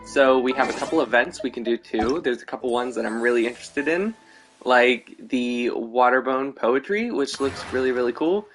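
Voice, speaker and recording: A man's voice: medium pitch, moderate pace, fairly bright tone. A man chatting casually as he commentates his gameplay on a livestream. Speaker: game streamer. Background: faint game music.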